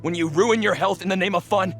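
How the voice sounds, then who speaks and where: A man's voice: sits high, brisk, a bit bright. An actor playing an earnest, strict middle-aged father in a game cutscene. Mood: angry, scolding. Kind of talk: English game dub cutscene acting. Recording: clear music behind the voice.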